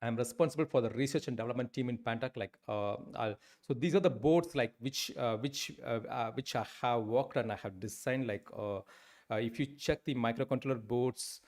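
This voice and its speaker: A man's voice: mid-range voice, brisk, darkish tone. A man in his forties with an Indian English accent, teaching a live online class with many fillers and restarts. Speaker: instructor. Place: indoor room, webcam.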